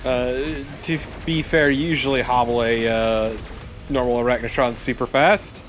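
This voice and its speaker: Casual mid-pitched man chatting over a game. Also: fairly even intonation, moderate pace, soft background music. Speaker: let's play co-commentator. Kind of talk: casual chat.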